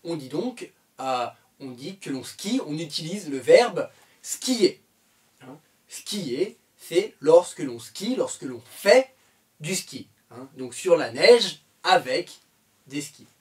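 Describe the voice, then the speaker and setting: A man from France teaches French with a slow, deliberate pace and a voice that rises and falls a lot. He keeps repeating key phrases patiently, dotted with "hein" and "euh", pitched a little high for a man. The voice sits somewhat away from the microphone. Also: sing-song, far from the mic. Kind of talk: slow explanatory language lesson.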